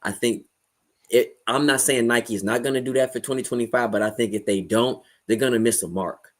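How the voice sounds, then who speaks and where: A man's voice: medium pitch, steady tone, a little fast. An American man in a casual livestream discussion, opinionated and explanatory, often checking in with his listeners as he goes. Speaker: podcast panelist.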